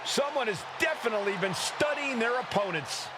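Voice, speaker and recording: An excited male commentator calls wrestling action in a high voice. Heavy arena noise fills in behind his game broadcast. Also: brisk. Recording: very noisy arena ambience.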